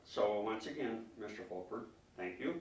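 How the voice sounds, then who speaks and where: A man speaking as a concerned citizen at a public meeting, arguing emphatically and haltingly, often repeating words as he questions officials. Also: fairly low pitch, expressive, slight background noise. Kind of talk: public comment, argumentative questioning. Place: public meeting room, indoors. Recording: echoey room, distant microphone.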